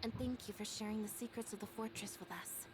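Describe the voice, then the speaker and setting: An actress playing a calm, soft-spoken, thoughtful young woman in video game dialogue. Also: mid-range voice, fairly quick, noisy background, natural voice. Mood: sincere.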